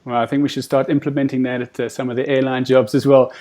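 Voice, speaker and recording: A man's voice: medium pitch, level tone, fast, dark tone. A man hosting an interview, asking long, encouraging questions in a conversational style. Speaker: interview host.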